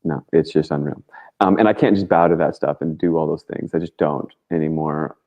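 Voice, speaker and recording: A man's voice: very deep voice, brisk, a little mellow, muffled recording. A man talking as a guest on a talk show, rambling casually and earnestly through his stories in informal, slangy language. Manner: informal and slangy, with frequent "like" and mild swearing.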